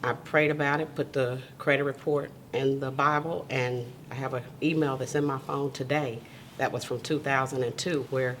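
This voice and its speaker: A woman's voice: rumbling low, steady tone, normal speed, a little hiss or hum. A woman guest who owns a cake business, telling her story in a candid, earnest way during a casual interview.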